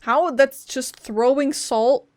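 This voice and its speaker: A woman's voice: high-pitched, steady tone, slow pace, dry recording. A woman game streamer giving casual, playful, wry reaction commentary.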